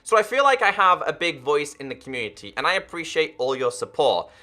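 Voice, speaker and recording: This is a young man in his twenties making a gaming video, speaking straight to his viewers in an earnest, direct way. His voice sits a little high for a man and has a crisp, forceful edge. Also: animated, fairly quick. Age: 20s. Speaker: gaming YouTuber. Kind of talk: direct-to-camera commentary.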